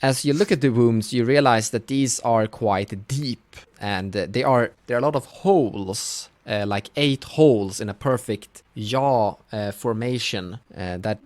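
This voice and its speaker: A man acting as game master, improvising tabletop story narration in a conversational, halting way. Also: medium pitch, a little mellow, dry recording.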